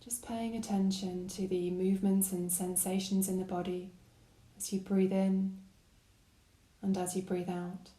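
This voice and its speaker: Woman, meditation guide, soft mellow tone, gentle calm phrasing, roomy reverberant sound. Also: medium pitch, unhurried. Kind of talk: guided body scan meditation narration.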